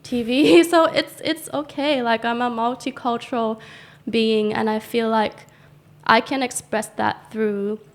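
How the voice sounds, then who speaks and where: A woman's voice: on the high side, fairly even intonation, measured pace. A young woman from Thailand with an accent that mixes Thai and Australian, talking reflectively in a casual interview with many likes and ums. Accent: mixed Thai and Australian. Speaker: guest, tattoo artist and teacher.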